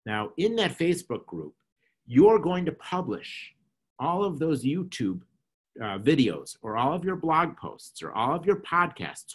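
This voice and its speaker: Nasal, husky middle-aged man coaching. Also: medium pitch. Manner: earnest, coaching, repeats phrases. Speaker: interview guest, marketing consultant. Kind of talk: explaining advice in an online talk. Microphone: video call webcam.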